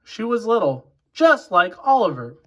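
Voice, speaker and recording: A man with a fairly high voice narrates a children's storybook. He phrases each line deliberately, in the style of a read-aloud for young listeners. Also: very animated, slight background noise. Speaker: children's picture book reader.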